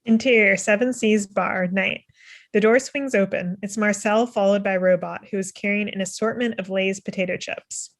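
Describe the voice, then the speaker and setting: A woman's voice: medium pitch, fairly even intonation, moderate pace. A young woman reads stage directions as a narrator. Her voice sits in the middle range, and she is speaking over a video call. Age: young adult. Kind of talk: scripted narration.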